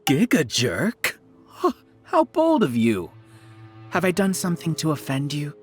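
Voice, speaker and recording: An actor playing a smug, self-righteous researcher villain in a video game, smooth and condescending. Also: male voice, a little high, huge pitch swings, unhurried, faint music behind. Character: smug male cult-leader researcher, calm, persuasive, self-righteous.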